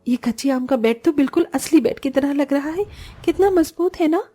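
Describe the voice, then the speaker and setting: Young orphan sister, voiced by a woman: very high pitch, earnest, expressive, cartoon fable. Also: fairly quick, natural voice. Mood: amazed. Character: poor young orphan sisters, earnest, kind, easily exasperated. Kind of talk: Hindi cartoon moral-story voice acting.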